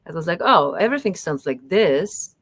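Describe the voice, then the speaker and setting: A woman whose first language is Polish, talking casually as an interview guest, halting with many fillers. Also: lowish voice, lively intonation, dry recording. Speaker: musician guest.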